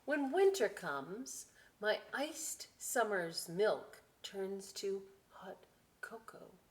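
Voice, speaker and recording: A woman reading aloud to children as a story time host, expressive and drawn out, with chatty conversational asides. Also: mid-range voice, extremely slow. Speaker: library story time reader.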